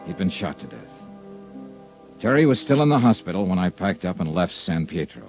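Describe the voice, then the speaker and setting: An actor playing a calm, firm male insurance investigator who narrates in a steady, clipped way and is firm when he confronts someone, in 1950s radio drama style, on a muffled old broadcast with music. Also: lowish voice. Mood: narrating. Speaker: narrator and lead.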